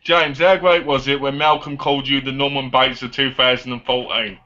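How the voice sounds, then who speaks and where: A man's voice: mid-range voice, fairly even intonation, normal speed, pushed and bright. A man in his twenties hosting a casual live online chat show. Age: 20s. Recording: dull lo-fi online call audio.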